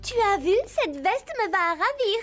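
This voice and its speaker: A woman's voice: extremely high pitch, big pitch swings, normal speed. A woman voicing a young girl horse rider in a French cartoon dub. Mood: pleased. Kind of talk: French animation dubbing. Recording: music bed under the dialogue.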